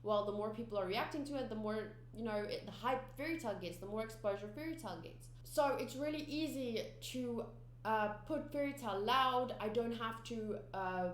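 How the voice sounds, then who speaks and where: A young South African woman with an Afrikaans-shaped accent chats to her viewers, wandering off track and restarting her sentences. Her voice sits a little high. The room is echoey, with a faint hum of noise underneath. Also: normal speed. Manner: rambling, chatty, many fillers and restarts. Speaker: anime reaction channel host. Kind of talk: casual talk to viewers.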